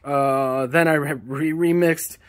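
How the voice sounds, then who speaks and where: A man's voice: a little high, steady tone, slow pace. A man in his forties, a songwriter and producer, explaining his process in a casual vlog, rambling and correcting himself, recorded on a phone held at arm's length in a small room. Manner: rambling, self-correcting, plain-spoken.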